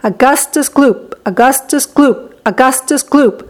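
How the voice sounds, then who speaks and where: A woman's voice: sits high, unhurried, darkish tone. A woman voicing tiny mocking men in a children's story, reciting a rhythmic, gleefully scolding chant.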